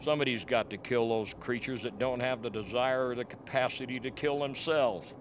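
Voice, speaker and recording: Guy playing a grim scientist doc in a radio drama, explains stuff slowly and plainly, bit of noise behind. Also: medium pitch, lightly acted. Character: grim, frank male scientist doctor at a polar outpost. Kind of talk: amateur radio play acting.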